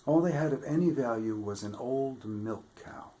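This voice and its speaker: A man reading a children's fairy tale aloud with expressive delivery, dropping to whispers for suspense. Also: mid-range voice, moderate pace. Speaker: storybook reader, theatre regular. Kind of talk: children's story read-aloud.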